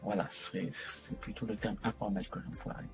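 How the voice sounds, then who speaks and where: A man's voice: lowish voice, flat delivery, normal speed, soft background music. A man hosting an educational video, explaining informally to the viewer in a hesitant way, often repeating and correcting himself. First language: French. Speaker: host of an educational video series.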